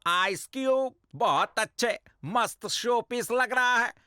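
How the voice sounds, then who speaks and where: A man's voice: very high-pitched, animated, takes it slowly, pushed and bright, smooth, no echo, clean studio sound, soft background music, heavily acted. A man voicing a bossy, mocking cartoon prison boss in a theatrical, commanding manner. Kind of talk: Hindi cartoon dub.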